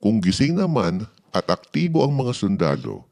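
A man's voice: fairly low pitch, huge pitch swings, moderate pace, gravelly, a little mellow, heavily acted. A man voicing a reassuring, confident father who explains things patiently and emphatically in a children's storybook.

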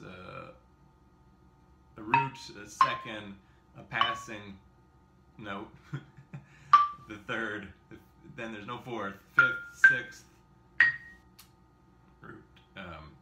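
A man in his thirties giving a casual xylophone lesson in his home kitchen, rambling and self-deprecating, with lots of fillers and small laughs. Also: deep voice. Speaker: xylophone teacher. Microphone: camera in front at a short distance. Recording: echoey kitchen with xylophone playing.

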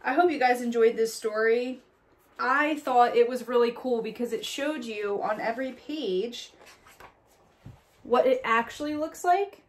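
A woman around her thirties, a teacher reading a picture book aloud to children and talking to them directly with little questions. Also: on the high side. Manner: addresses young listeners directly with questions and tag "right?". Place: small indoor room at home or classroom corner.

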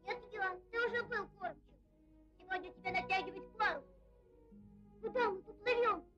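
A bossy small child at play in an old cartoon. The voice is extremely high and sharp. The soundtrack is dull and has faint music underneath. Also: female voice, normal speed. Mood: bossy, playful. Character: bossy small child playing at sailing ships. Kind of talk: cartoon voice acting.

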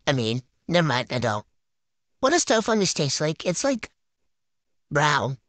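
A giggly woman bantering through a silly game video, her voice bouncing up and down in pitch as she brags in teen slang. She sounds close to the mic in a dead, dry space. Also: very animated, a little slow, no room sound. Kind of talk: playful improvised banter voicing game characters.

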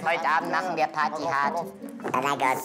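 A teenage young man with a helium-squeaky voice, goofing around playfully in an archive clip. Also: steady tone, a little fast, over a noisy crowd. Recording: archive clip under loud music.

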